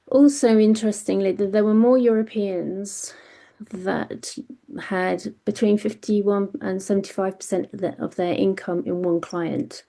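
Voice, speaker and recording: A woman's voice: mid-range voice, steady tone, unhurried, vocal fry, warm tone. A British woman presenting survey results on a webinar, hesitant with frequent ums and self-corrections. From Britain. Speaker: webinar panelist.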